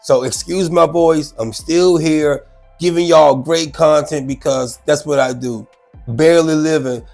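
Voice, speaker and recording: A man's voice: a little high, a little slow, creaky, faint music behind. A congested, sick man hosting his own show, chatting loosely and animatedly about a news clip. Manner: chatty, animated, repeats himself. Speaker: show host. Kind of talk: casual commentary on a news clip.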